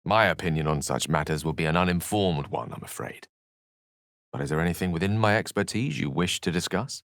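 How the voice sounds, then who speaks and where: An actor playing an erudite, wry and courteous wizard in a video game, with eloquent, precise, theatrical phrasing. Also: male voice, sits low, brisk, a bit bright, no room sound, studio quality. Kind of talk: video game character acting.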